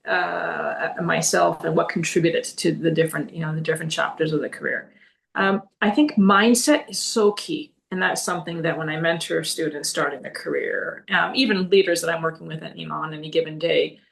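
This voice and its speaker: A woman's voice: low-pitched, fairly even intonation, a little fast, gravelly, poor audio. A middle-aged woman whose first language is Greek, answering interview questions earnestly, with many fillers and restarts. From Canada. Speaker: podcast guest, leadership consultant.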